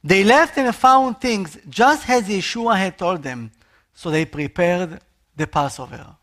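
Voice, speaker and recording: A man from Israel with an Israeli accent, a missionary preaching a sermon in an earnest, expressive way. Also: high-pitched, a little slow.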